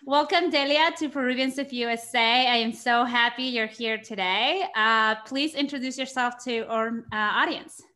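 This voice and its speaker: A woman's voice: a little high, steady tone, moderate pace, hardly any pauses, pushed and bright. A woman in her thirties from Peru, a friendly and welcoming podcast host who introduces the show and chats casually with her guest.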